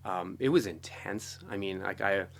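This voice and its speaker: A man's voice: sits low, moderate pace, creaky. A middle-aged American man telling stories as an interview guest in a casual, enthusiastic, rambling way, often saying "like" and "you know". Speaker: interview guest, film and television composer. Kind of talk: casual conversational storytelling.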